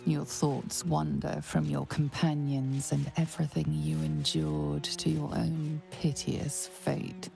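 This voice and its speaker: Female narrator for a dark fantasy game: slow, very gravelly, ominous and grave, portentous storytelling. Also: flat delivery, heavily acted. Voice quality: gravelly, creaky low voice. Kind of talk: scripted dramatic game narration. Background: music.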